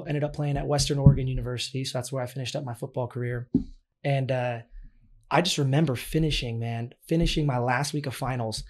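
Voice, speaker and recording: A man in his late twenties, a youth sports coach, slightly raspy from yelling, telling his story earnestly in a casual interview. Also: mid-range voice. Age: 20s.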